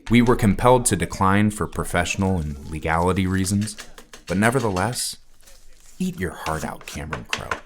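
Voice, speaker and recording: An actor playing an earnest, deferential podcast host and devoted fan, sometimes hesitant, in a scripted mock interview. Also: male voice, sits low, lively intonation, normal speed, a little hiss or hum. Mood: earnest narration.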